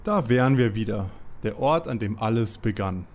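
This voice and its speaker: A man playing an excitable, credulous young sidekick detective in a parody audio drama. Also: fairly low pitch, normal speed, close to an everyday voice. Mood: reflective. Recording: clearly noisy, with ambience.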